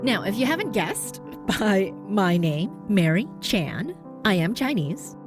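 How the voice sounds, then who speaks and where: A woman from Canada with a neutral North American accent, hosting a podcast as a voice coach. She talks in an upbeat, encouraging way and jumps up in pitch for emphasis. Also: big pitch swings, measured pace, music in the background. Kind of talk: scripted podcast monologue.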